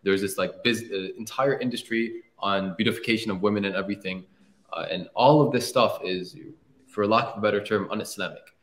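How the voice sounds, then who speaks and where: Persuasive host in an echoey room. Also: male voice, lowish voice, a little fast. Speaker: podcast host. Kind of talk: casual podcast chat.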